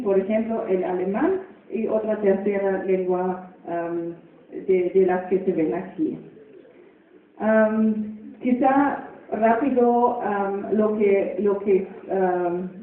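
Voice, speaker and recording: A woman giving a conference talk about her university faculty, hesitant, pausing often with filler sounds and tag questions. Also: medium pitch. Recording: echoey hall, distant, phone-like.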